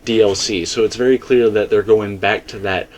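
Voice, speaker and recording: A man with a fairly low voice hosts a casual, speculative discussion. He rambles emphatically, circling back and repeating phrases as he builds his point. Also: steady tone, normal speed, a little mellow. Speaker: video game theorist and host.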